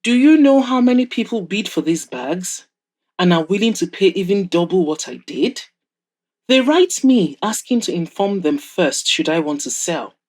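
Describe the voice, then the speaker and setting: A woman's voice: lowish voice, expressive, normal speed, darkish tone. A woman plays a proud, wealthy older mother in an audio drama. She is wry and frank, handing advice to her grown son with a teasing edge. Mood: amused, warning.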